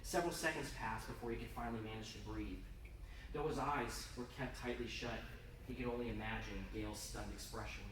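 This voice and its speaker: A man gives a dramatic live reading of a story. He sounds intense and theatrical, leaning on pauses for emphasis. The recording is distant and echoing, with some noise around him. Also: fairly low pitch, fairly even intonation. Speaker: author reading own fiction. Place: indoor convention reading room. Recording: roomy, distant, noisy live event recording.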